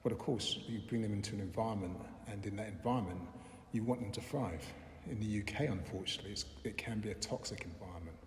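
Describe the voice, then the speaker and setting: Middle-aged man, low-pitched, thoughtful interview answers, recorded in a reverberant hall. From London, England. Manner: thoughtful, measured, frequent "um" and restarts. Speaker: interviewee, filmmaker and lecturer. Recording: roomy echo with long reverb, faint background noise.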